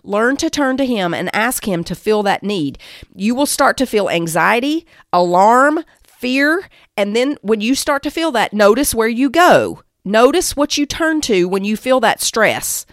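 A woman's voice: fairly high pitch, sing-song, unhurried, no room sound, studio quality. A woman giving a devotional teaching talk, speaking emphatically and exhorting, repeating phrases for weight. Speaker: Bible teacher and podcast host.

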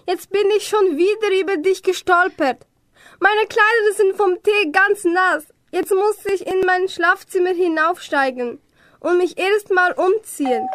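A young woman playing a scatty, quick-tempered witch in a children's amateur radio play. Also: very high-pitched, fairly bright tone, no room sound, clean studio sound. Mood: exasperated.

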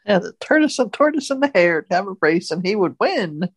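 A close, dry recording of a woman with a lively, varied pitch. She banters casually as a podcast co-host. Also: fairly quick, dry recording. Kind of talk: casual joking chat.

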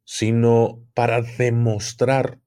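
A man with a Mexican accent speaking as an interview guest, explaining things conversationally and haltingly, often repeating words. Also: medium pitch, flat delivery, mellow, clear, resonant tone. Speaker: podcast guest, occultism expert.

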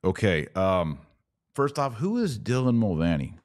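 A man's voice: fairly low pitch, sing-song, measured pace. A man with an American accent giving live podcast commentary in a conversational way, posing pointed rhetorical questions. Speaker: podcast host.

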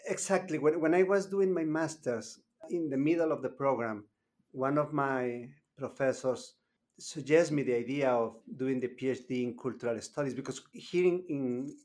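A man's voice: medium pitch, normal speed, darkish tone. A man, a graduate student guest, speaking hesitantly and often repeating words as he searches for phrasing. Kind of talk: conversational interview.

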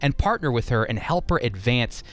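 A man's voice: mid-range voice, expressive, normal speed, a bit bright. A man speaking as the host of a religious radio program, in a scripted presenting style.

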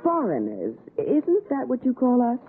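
A sardonic, teasing wife from the city in 1960s radio suspense. Her voice is high and swings expressively, heard through thin, noisy old broadcast sound. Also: wildly swooping pitch, a little slow, phone-quality sound. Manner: arch, teasing sarcasm. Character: mocking, flirtatious city wife of a folk-music scholar.

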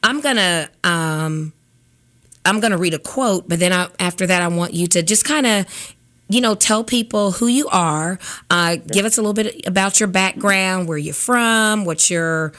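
A woman hosting a radio cooking segment, raspy from sinus trouble, chatting casually with lots of fillers in a studio. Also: fairly low pitch, normal speed, a bit bright.

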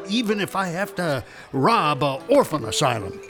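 A man's voice: on the high side, sing-song, unhurried, music in the background. An actor playing an exuberant, folksy Old West townsman in a radio drama, with a chuckling, colloquial rustic manner. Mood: jovial, scheming.

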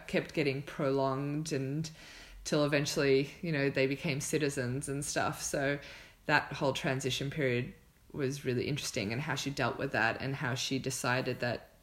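A podcast host with a mid-pitched voice speaks casually, as in a relaxed conversation. The delivery is informal and reflective, with thoughts worked out aloud. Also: normal speed, few pauses.

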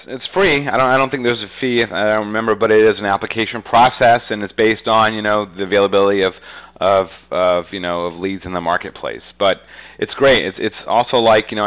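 Low, clear-voiced man talking shop casually. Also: fairly bright tone, rough recording. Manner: conversational, repeats "you know" heavily. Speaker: real estate agent, interview guest. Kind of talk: casual business interview answers.

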